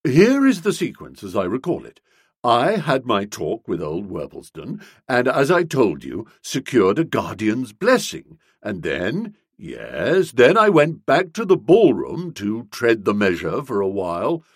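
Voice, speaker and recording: A man voicing a flustered, pompous young English gentleman writer in an audiobook, wordy and self-justifying, with affectionate upper-class slang. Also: mid-range voice, huge pitch swings, a little slow, breathy edge, no echo, clean studio sound, full character voice. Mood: flustered, placating.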